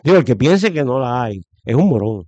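A man's voice: mid-range voice, sing-song, fairly quick, darkish tone, no room sound, dull audio. Emphatic middle-aged Puerto Rican man. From Puerto Rico. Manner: opinionated, emphatic, repeats phrases for stress. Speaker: interview guest giving political opinion. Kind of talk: conversational political commentary.

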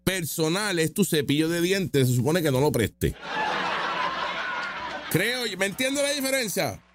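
A man's voice: on the high side, sing-song, a little slow, heavy background noise. A man giving casual, opinionated reaction commentary, mocking and full of rhetorical questions. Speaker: political commentator reacting to street interview clips.

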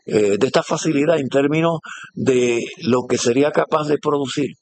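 A man's voice: medium pitch, moderate pace, a little mellow, no room sound. A man with a Puerto Rican accent interviewing on site, friendly and enthusiastic, with many hesitant fillers. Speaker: interviewer, reporter.

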